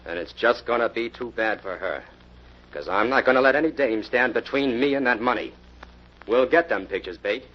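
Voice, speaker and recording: A man's voice: medium pitch, animated, moderate pace, lightly acted. An actor in an old-time radio mystery playing a ruthless, scheming bank robber who bosses his partner in criminal slang. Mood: menacing. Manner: bossy, slangy criminal talk. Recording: dull, noisy old radio recording.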